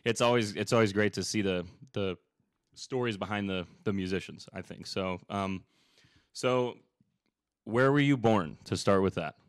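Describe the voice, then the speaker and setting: A young male podcast host with a fairly low voice that comes across smooth and clean. He talks loosely, circling back with fillers and restarts. The recording is close and dry, with no room sound. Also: moderate pace, no room sound. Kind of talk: casual interview chat.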